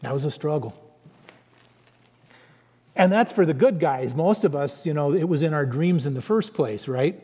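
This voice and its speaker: Male pastor, high voice, animated sermon delivery, echoing church acoustics. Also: normal speed, long pauses, slightly rough. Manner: animated preaching with conversational asides.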